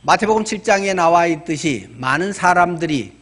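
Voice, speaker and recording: Higher-voiced man of about sixty preaching. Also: expressive, a little fast, a bit bright. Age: around 60. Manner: conversational, explanatory asides with rhetorical questions. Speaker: pastor. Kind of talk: sermon.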